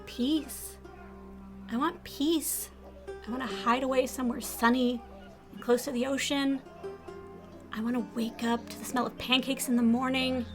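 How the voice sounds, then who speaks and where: Young female survivor, played by a woman: fairly high voice, defiant, sardonic, world-weary, audio drama with clear music bed. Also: steady tone, unhurried, long pauses. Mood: weary, longing. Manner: sardonic, emotionally raw. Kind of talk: scripted audio drama acting with first-person narration.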